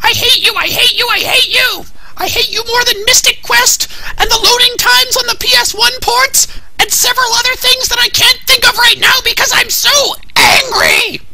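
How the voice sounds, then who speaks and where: A woman's voice: very high voice, fairly even intonation, normal speed. A woman playing a hot-tempered, impulsive young warrior commander in an anime parody, speaking emphatically. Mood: furious. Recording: clearly noisy track.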